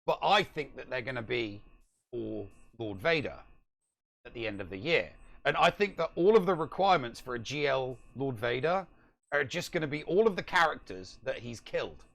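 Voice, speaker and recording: Animated middle-aged man with gravelly creak. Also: medium pitch, normal speed. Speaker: podcast co-host. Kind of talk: casual debate about a video game. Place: room at home, on a video call.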